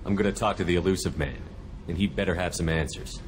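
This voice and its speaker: Man acting male squad commander, very low pitch, firm, measured, probing, video game dialogue. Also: steady tone, a little hiss or hum, close to an everyday voice. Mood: firm, questioning. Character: steady, commanding male military squad leader.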